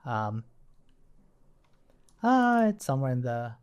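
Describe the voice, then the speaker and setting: A man's voice: medium pitch, big pitch swings, slow pace, smooth. A man giving a casual coding tutorial as an instructor, informally thinking aloud as he works. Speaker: programming instructor.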